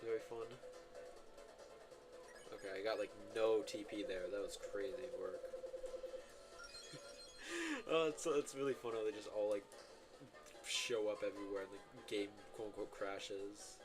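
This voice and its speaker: A man's voice: fairly low pitch, measured pace, long pauses. A man streaming a video game, giving casual, rambling commentary full of filler words and self-corrections. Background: game music.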